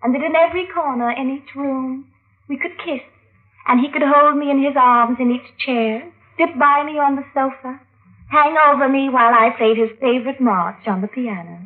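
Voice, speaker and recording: A woman's voice: sits high, normal speed, close to an everyday voice. An actress playing a melodramatic, lovelorn woman giving testimony in an old-time radio drama, with theatrical, coy delivery. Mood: dreamy. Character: melodramatic, lovelorn woman defendant on the witness stand. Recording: muffled, narrow-band old radio recording.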